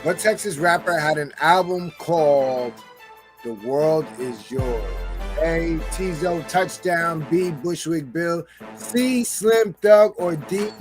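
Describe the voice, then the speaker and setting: A man co-hosting a podcast, reading trivia and joking loudly in casual chat. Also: fairly high pitch, slow, music in the background. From New York. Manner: loud, animated, joking. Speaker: podcast co-host.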